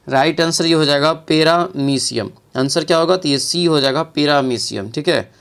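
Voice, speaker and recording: Guy teacher doing exam quiz walkthrough, voice a bit high, repeats the questions and answers. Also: fairly bright tone, smooth.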